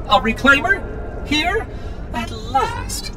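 A prim, pedantic little machine for a video game, its robotic voice leaping all over in pitch. It sounds officious and precise over clearly audible sound effects. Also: male voice, very animated, extremely slow, noisy background, exaggerated voice. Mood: prim, insistent. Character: fussy, chirpy robotic monitor machine, pedantic caretaker. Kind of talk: video game cutscene acting.

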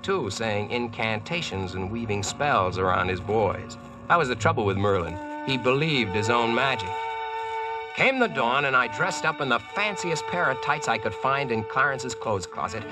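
A man's voice: mid-range voice, close to an everyday voice, poor audio. An actor playing a brash, slangy modern American man in 1940s-style radio drama and narration. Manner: slangy, cocky, punchy. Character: brash, wisecracking modern American man, reformer called Sir Boss. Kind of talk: 1940s radio acting and first-person narration. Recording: vintage radio broadcast with music behind.

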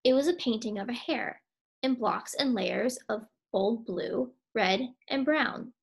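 Young female storybook reader, higher-pitched with creaky fry, emphatic delivery. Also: measured pace. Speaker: teacher reading aloud. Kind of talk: storybook read-aloud.

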